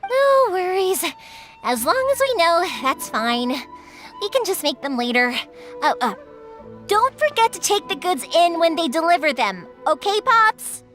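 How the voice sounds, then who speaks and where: An actress playing a lively, chatty young fireworks maker who tells stories affectionately. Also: female voice, very high voice, measured pace, full character voice. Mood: cheerful. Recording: game audio with music behind.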